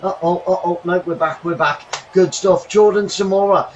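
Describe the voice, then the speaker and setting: A man hosting a live football watchalong from his bedroom, commentating the match and thanking viewers, getting excitable and shouting when chances come. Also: high-pitched, normal speed, muffled recording, slight background noise. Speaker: watchalong host. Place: home bedroom.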